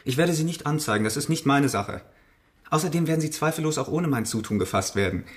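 An actor playing a stern, indignant gentleman scientist in a radio drama, with a curt, cold and formal manner. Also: fairly high pitch, lively intonation, quick pace, natural voice. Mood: cold refusal.

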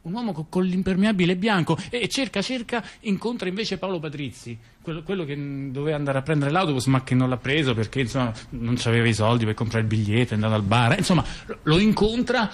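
A man telling a story theatrically and conversationally, halting and repeating himself emphatically with ironic asides. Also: fairly high pitch. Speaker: stage performer in a satirical dialogue.

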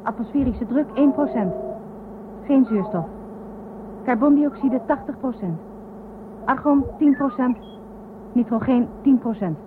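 An actress playing a cautious, earnest young space explorer in a science fiction radio drama. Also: female voice, high-pitched, lively intonation, measured pace, pauses often. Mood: businesslike. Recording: thin phone-like band, clearly noisy.

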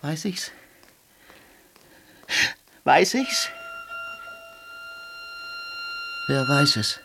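Loud music surrounds a haunted soldier in a radio drama. His high voice swings widely as he broods and feverishly repeats himself in jealous torment. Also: sing-song, creaky, heavily acted, rough recording. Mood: anguished suspicion. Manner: halting, obsessive, repeating phrases feverishly. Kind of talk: German radio drama acting.

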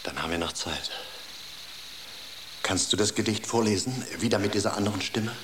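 An actor playing a dreamy poet enraptured by an inner voice in a radio drama, speaking in a hushed, reverent tone often near a whisper. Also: male voice, on the low side, normal speed, background noise, lightly acted. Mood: quietly dreamy.

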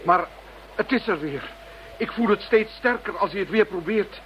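A really high strained guy in a radio drama, tormented, halting, kinda muffled w faint noise. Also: lively intonation. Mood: strained, pleading. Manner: strained, halting, repeating words. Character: tormented man barely holding off a mental intruder. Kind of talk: radio play acting.

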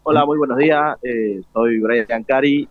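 A man's voice: medium pitch, unhurried, no room sound. A teenage male student with an Argentine accent explains things informally in an interview, hesitating as he searches for words. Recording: dull lo-fi radio broadcast link.

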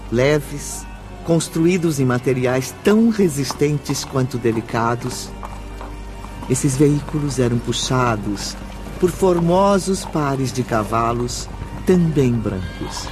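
A Brazilian man narrating a spiritual radio drama solemnly, with measured dramatic pauses. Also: medium pitch. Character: solemn male storyteller of a spiritual tale. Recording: music bed behind the voice.

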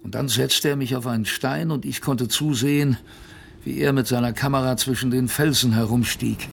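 An actor playing an earnest expedition survivor who tells his story as a radio play narrator, conversationally and with dramatic pauses. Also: medium pitch, fairly even intonation, vocal fry, a little hiss or hum, close to an everyday voice. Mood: rueful.

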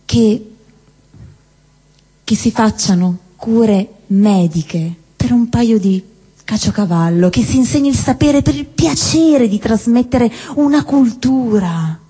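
A woman's voice: a little high, lively intonation, takes it slowly, dark tone, slightly rough. Woman reciting dramatically in a reverberant hall. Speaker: stage performer at a live show. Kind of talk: theatrical spoken-word monologue recital. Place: indoor cinema-theatre venue with long reverb. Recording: reverberant hall, live stage performance.

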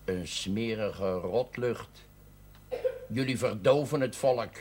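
A man playing a gruff, drunken socialist father, coarse, scornful and blustering, in a television drama. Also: fairly low pitch, slow, a little mellow. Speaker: actor in a television drama series. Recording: noisy old television soundtrack.

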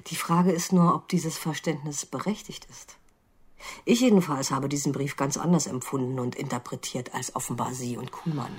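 A deep, slightly breathy-rough magistrate in a radio crime drama. She questions with precise, cool insistence and open scepticism. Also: moderate pace. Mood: sceptical, probing. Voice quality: low, husky. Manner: precise, insistent, coolly interrogating. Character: probing, sceptical female examining magistrate. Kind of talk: naturalistic German radio crime drama acting.

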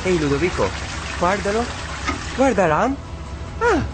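An excitable, nagging teenage boy in a radio play. The voice is fairly high and swoops in a very animated way. Loud music plays behind it. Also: measured pace. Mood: amazed, nagging. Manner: animated, insistent, repetitive. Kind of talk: theatrical radio drama.

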